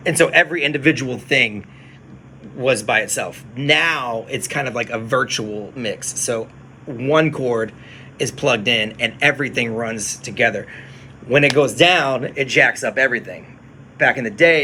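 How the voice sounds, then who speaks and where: A man who works as a radio DJ, chatting casually on a phone livestream from his studio, relaxed and explaining things to viewers. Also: mid-range voice, a little hiss or hum.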